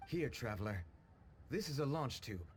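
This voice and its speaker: Polite, hurried young man, acted, lively intonation. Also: medium pitch, slight background noise, lightly acted. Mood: hurried, courteous. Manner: deferential, hesitant. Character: polite, hurried young male assistant planner. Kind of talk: English video game voice acting.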